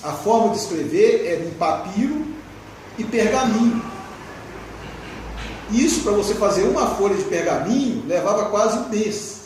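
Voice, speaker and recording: A Brazilian man lectures at a spiritist gathering, laying out his points in a measured, explanatory way and asking rhetorical questions. His voice sits high and is picked up from a distance. The hall around him rings with a very strong echo and a faint hum of room noise. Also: expressive, darkish tone. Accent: Brazilian Portuguese. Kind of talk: religious lecture. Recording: very echoey hall, distant mic.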